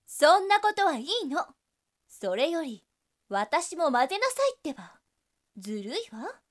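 Slow, teasing succubus, high-voiced. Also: female voice, big pitch swings. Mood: pouty, insistent. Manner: languid, flirtatious, teasing. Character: teasing, seductive female succubus, playful and brazen. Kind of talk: Japanese situation voice drama acting.

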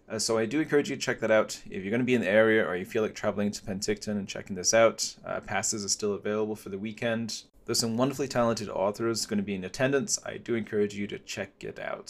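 A man from England hosting a podcast interview in a relaxed, chatty way with frequent fillers. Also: on the low side. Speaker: podcast host. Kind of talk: casual interview chat.

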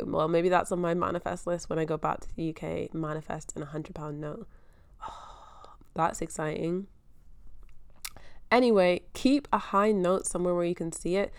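A chatty young woman from Scotland hosts her own podcast, her accent mostly neutral with a faint Scottish edge. Her low-set voice is smooth and clear, and it rambles loosely as she thinks out loud. The sound is dry and close, with no room around it. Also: no room sound. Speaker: podcast host. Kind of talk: casual solo podcast chat.

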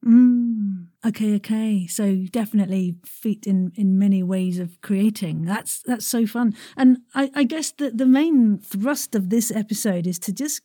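A woman hosting a casual interview, enthusiastic and quick to affirm what she hears. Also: mid-range voice, warm tone, no room sound, clean studio sound. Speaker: podcast host.

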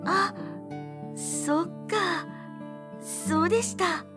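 An actress playing a shy, gentle young woman who loves plants in a Japanese audio drama, speaking softly and earnestly. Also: extremely high pitch, extremely slow, loud music behind the voice, exaggerated voice.

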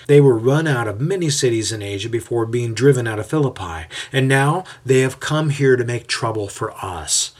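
A man voicing a scheming, smooth-talking mob leader in an audio story, speaking with calculated menace. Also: medium pitch, expressive, moderate pace, hardly any pauses, close to an everyday voice. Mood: smooth persuasive. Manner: raised, calculated and coolly menacing.

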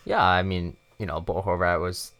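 A man's voice: deep voice, moderate pace, fairly bright tone. A man co-hosting a casual sports podcast, agreeable, repeating himself and leaning on fillers. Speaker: podcast co-host.

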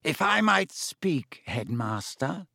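Male reader doing a menacing, snide teacher for a storybook, drawn-out and cold. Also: on the high side, sing-song, creaky, bright, forceful tone, no room sound, studio quality, voice pushed up, full character voice. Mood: sneering. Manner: slow, silky and sneering.

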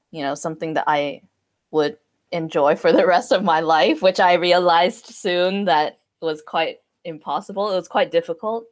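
A young woman in her twenties from Hong Kong, speaking thoughtfully and hesitantly in a casual conversation. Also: fairly low pitch. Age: 20s. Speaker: guest.

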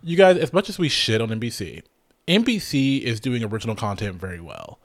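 A man's voice: medium pitch, a little fast. Gushing man reviewing a show. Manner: animated, gushing, frequent restarts and swearing. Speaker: podcast co-host. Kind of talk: casual chat reviewing a TV show.

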